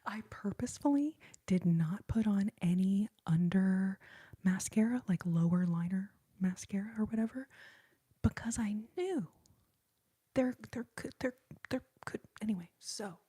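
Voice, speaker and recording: A woman's voice: mid-range voice, unhurried, mellow, slightly rough. A young woman whispering to her livestream viewers during an ASMR stream, greeting them with pet names, close to a phone mic. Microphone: phone held close.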